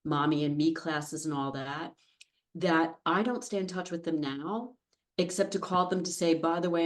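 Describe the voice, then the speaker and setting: An American woman in her fifties chatting as a podcast guest, telling anecdotes in a self-deprecating way. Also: low voice. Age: 50s. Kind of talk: casual anecdotal interview conversation. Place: podcast studio.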